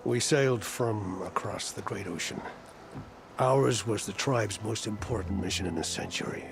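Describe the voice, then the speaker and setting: Gravelly, weary older admiral. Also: male voice, on the low side, moderate pace. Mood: bitter, weary. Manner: formal, grave, wry. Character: weary, proud older male admiral of a stranded fleet. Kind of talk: cinematic video game acting. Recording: music behind the voice.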